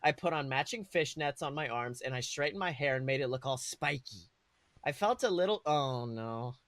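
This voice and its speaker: Male podcast co-host for comic read-aloud: theatrical, very lively intonation, bright forceful tone, close dry sound. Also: medium pitch, normal speed, no room sound. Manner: theatrical, mock-earnest. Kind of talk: comic dramatic read-aloud of fan fiction.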